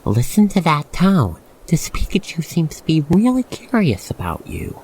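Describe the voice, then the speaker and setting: Gravelly, creaky male ASMR storyteller. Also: a little high, huge pitch swings, normal speed, a little mellow, rough recording. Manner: theatrical storytelling, addresses listener directly. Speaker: narrator. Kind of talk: ASMR roleplay narration to the listener.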